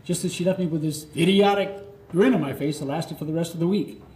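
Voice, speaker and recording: An older American man performing a nostalgic autobiographical monologue live, theatrical, with dramatic pauses. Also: fairly high pitch, dark tone. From Florida, United States. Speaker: storyteller. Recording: roomy live recording with faint noise.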